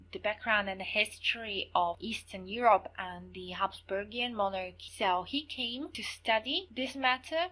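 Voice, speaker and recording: Young slovak woman teaching history online, bright clear voice, kind of high, explains and recaps stuff. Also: low-quality recording. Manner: explanatory, repeats key points for students; non-native phrasing, casual fillers. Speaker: lecturer.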